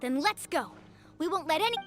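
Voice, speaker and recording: A woman's voice: very high voice, animated, brisk, music in the background. A woman voicing an upbeat, confident, athletic young trainer in an anime game, eager and peppy.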